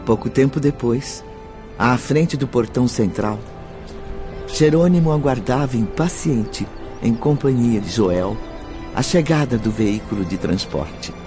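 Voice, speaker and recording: A man's voice: medium pitch, expressive, measured pace, over music. A man narrating a radio drama in a solemn, formal, dramatic scripted style.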